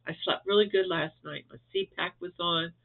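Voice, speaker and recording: A man's voice: sits high, normal speed, creaky. A man from Florida, stuffed up and losing his voice, giving a chatty, rambling update to viewers from home with frequent chuckles. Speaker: crochet vlogger. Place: at home indoors. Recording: tinny phone-like audio.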